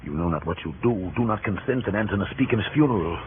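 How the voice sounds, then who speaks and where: Male actor as wary Roman conspirator, low urgent voice, 1930s radio Shakespeare, narrow broadcast sound. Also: lively intonation, fast talker, slight background noise, natural voice. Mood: urgent warning.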